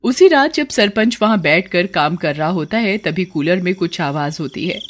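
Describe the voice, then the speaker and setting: A woman's voice: lowish voice, expressive, normal speed. A woman reading scripted story narration as the narrator.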